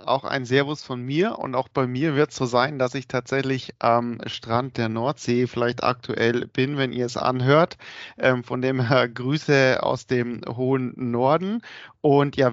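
Relaxed male co-host, dry muffled sound. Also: mid-range voice, no echo. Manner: relaxed, easygoing, with fillers. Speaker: podcast co-host. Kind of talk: casual podcast chat.

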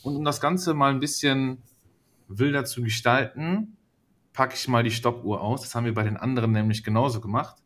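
A man's voice: mid-range voice, a little fast, a bit bright. A man hosting a podcast in casual chat, playful and teasing, laughing often. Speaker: podcast host.

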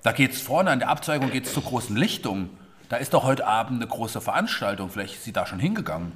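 An adult performer playing a friendly, excitable party giver in a children's audio play, encouraging and echoing answers with surprise. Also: mid-range voice, quick pace. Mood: curious, friendly. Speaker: host of a magic forest party, interviewing characters.

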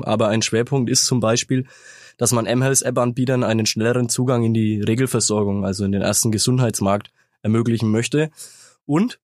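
A man with a slight cold talks through a business topic at an even, measured pace, often tagging his points with a quick "ne?". His voice sits at an ordinary middle pitch. The studio sound is close and dry. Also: dry recording. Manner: measured, explanatory, with "ne?" tags. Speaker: sales manager, interview guest. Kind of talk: business interview answers.

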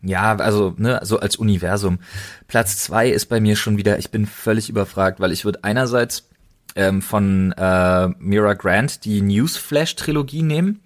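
A man with a fairly low voice talks casually as a podcast co-host. He sounds enthusiastic, leaning hard on emphatic, slangy words as he chats. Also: moderate pace.

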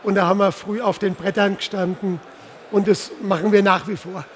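High-pitched man in his sixties. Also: steady tone, fairly quick. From Palatinate, Germany. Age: 60s. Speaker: interview guest, insurance executive. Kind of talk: casual on-stage conversation. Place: live stage at a trade fair. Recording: faint background noise, live event.

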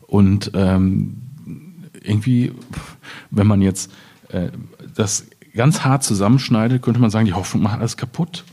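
A man's voice: on the low side, measured pace, warm tone, slightly rough. A man in a literary discussion, musing hesitantly and often checking back with short tag questions. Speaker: author in discussion at a reading event.

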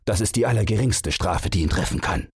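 A man's voice: on the low side, brisk, slightly rough, no room sound, studio quality, voice pushed up. A man voicing an indignant, blustering old king in a fairy tale audiobook, imperious and scornful. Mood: commanding.

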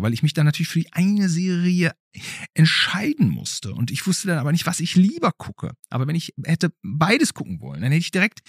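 Animated, husky man with inquisitive manner. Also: a little high, no room sound, clean studio sound. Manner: playful, inquisitive. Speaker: podcast host interviewing a child. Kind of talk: casual interview chat.

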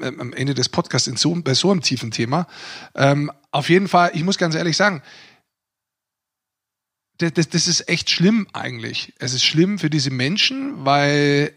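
A man's voice: fairly high pitch, a bit bright. A man talking casually and earnestly on a podcast, philosophizing and searching for his words. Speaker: podcast co-host. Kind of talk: casual reflective podcast talk.

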